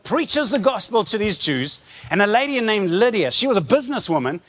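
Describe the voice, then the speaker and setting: A man preaching in an animated storytelling style, using rhetorical questions, emphatic repetition and informal retelling. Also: high voice, a little fast, in a large hall.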